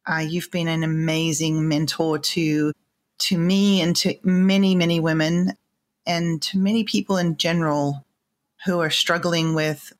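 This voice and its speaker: A woman hosting a podcast interview, encouraging her guest and quick to agree with her. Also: low voice. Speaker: podcast host.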